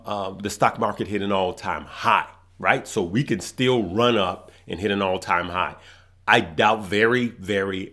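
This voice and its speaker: A man's voice: fairly low pitch, fairly bright tone. A man hosting a stock market show, talking casually to viewers and saying he is losing his voice, rambling with restarts and tagging his points with "right?". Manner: rambling, restarts phrases, tags lines with "right?".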